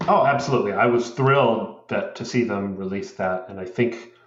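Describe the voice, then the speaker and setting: A man's voice: on the low side, moderate pace. A man being interviewed as a guest, explaining his views thoughtfully and steadily in casual conversation. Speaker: guest, open source project co-lead. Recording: roomy, distant, dull sound.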